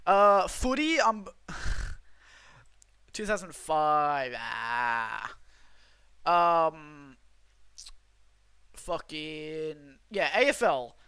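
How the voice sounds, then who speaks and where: A man's voice: fairly high pitch, big pitch swings, very slow, pauses often, bright, forceful tone, clean tone, no room sound. A young Australian man streaming, chatting casually with his viewers in a rambling, self-interrupting way. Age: young adult. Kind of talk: casual livestream chat reading chat.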